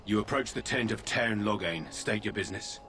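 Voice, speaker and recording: A man's voice: on the low side, brisk, background noise, lightly acted. An actor playing a dutiful male soldier guarding a tent, speaking in a plain, matter-of-fact way.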